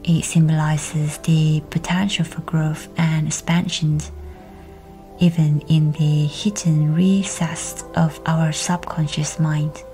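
A woman leading a scripted guided meditation in a hushed, breathy, near-whispered voice, soothing and measured. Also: deep voice.

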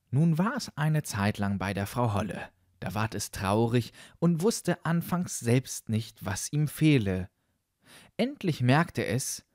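A male storyteller reads a fairytale in a dry recording. His delivery is unhurried and deliberate, with a lively, expressive melody. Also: lowish voice, dry recording. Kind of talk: fairytale audiobook narration.

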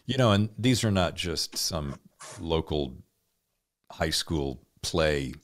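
Guy hosting a podcast, really low voice, encouraging and effusive, chatty interview style. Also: animated, slow pace. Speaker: podcast host. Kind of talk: casual interview chat.

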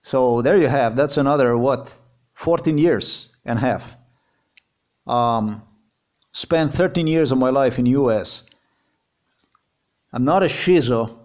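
A man's voice: medium pitch, slow pace, pauses often. A man with a Slovenian accent, a native Slovenian speaker, giving a heated personal account in English, repeating himself and swearing as he talks. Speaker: vlogger.

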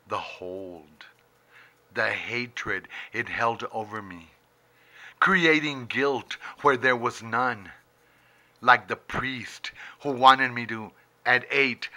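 A middle-aged man reciting his own poetry as dramatic spoken word, intense and theatrical, with long pauses and emphatic bursts, close to a camera indoors. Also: mid-range voice, bright, forceful tone. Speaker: poet reading own poem. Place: indoors, small book-lined room. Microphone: handheld camera very close to face.

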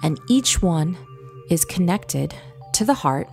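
A woman leading a guided visualization meditation in a gentle, soothing, instructive manner with long pauses. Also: lowish voice, animated, gravelly. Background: background music.

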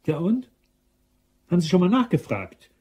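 A man's voice: high voice, wildly swooping pitch, moderate pace, darkish tone. An actor playing an impatient, bossy male manager of a shopping centre in a radio crime drama, curt and demanding.

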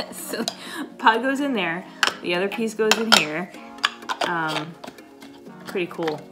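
A woman with a slightly raspy voice chatting casually to camera in her kitchen, rambling with lots of fillers. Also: mid-range voice, expressive, takes it slowly, loud music behind the voice. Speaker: vlogger, paramedic. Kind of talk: casual coffee chat to camera. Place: home kitchen, indoors.

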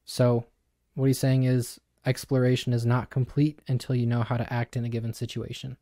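Young man, flat, even explaining voice. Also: mid-range voice, fairly quick, no echo, studio quality. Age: young adult. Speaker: podcast co-host. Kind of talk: reading a passage then casual discussion. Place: small home studio room. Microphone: studio mic on boom arm.

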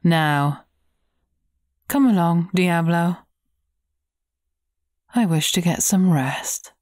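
A villainous sorceress from a fairy-tale audio drama. She is low-voiced and imperious, drawing her words out very slowly with cool, scheming menace, close and dry. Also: a little mellow, no room sound. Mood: cold, scheming. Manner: drawling, imperious.

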